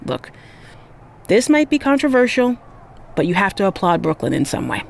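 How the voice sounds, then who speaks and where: Assertive wrestling panel analyst. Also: female voice, medium pitch, very animated, moderate pace, creaky. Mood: admiring, assertive. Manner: assertive, pointed. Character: opinionated, argumentative wrestling studio analyst. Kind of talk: scripted sports panel debate.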